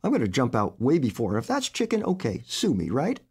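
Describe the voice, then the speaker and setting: A man's voice: mid-range voice, huge pitch swings, fairly quick, darkish tone, breathy edge, full character voice. A man putting on a cocky teenage boy. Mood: brash.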